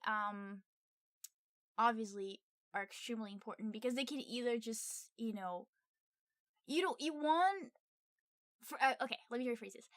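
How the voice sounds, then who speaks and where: A woman with a fairly high voice co-hosts a review podcast. She speaks casually and playfully, rephrasing as she thinks out loud. The sound is dry, with hardly any room on it. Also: no echo. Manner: halting, rephrases as they think aloud. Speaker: podcast co-host reviewing a film. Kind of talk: casual unscripted review chat.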